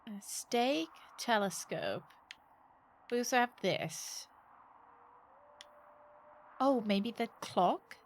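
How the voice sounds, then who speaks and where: A woman's voice: on the high side, very animated, extremely slow, pauses often, a little hiss or hum. A woman game streamer giving casual, playful live commentary, thinking aloud and exclaiming as she plays.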